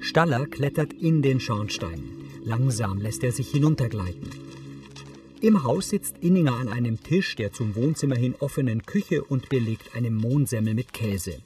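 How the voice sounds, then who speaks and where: Male narrator, mid-pitched, neutral, sober, scripted, clear music behind. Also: expressive, moderate pace, distant mic. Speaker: audio description narrator. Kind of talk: scripted narration, present tense.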